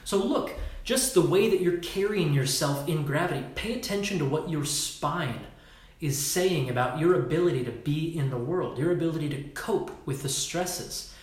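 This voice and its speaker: Man, fairly high voice, emphatic, casual swearing, echoey room, live stream. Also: lively intonation, moderate pace. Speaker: workshop leader. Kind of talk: Facebook Live talk.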